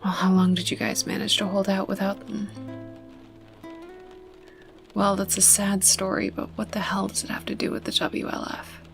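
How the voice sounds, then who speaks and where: A woman playing a tough, guarded young female survivor in an ASMR roleplay, blunt and sarcastic, with a breathy voice that often drops toward a whisper. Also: medium pitch, little pitch movement, normal speed, pauses often, faint music behind, natural voice. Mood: guarded, probing. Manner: wary, sarcastic, blunt, cursing. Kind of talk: ASMR roleplay, close intimate acting to the listener.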